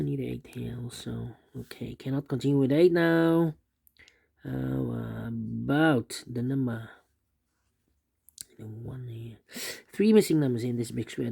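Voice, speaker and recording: A man with a dark, gravelly voice teaches a puzzle solve at his desk. He thinks aloud in a steady, methodical way, repeating pairs of numbers under his breath as he goes. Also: mid-range voice. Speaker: sudoku instructor.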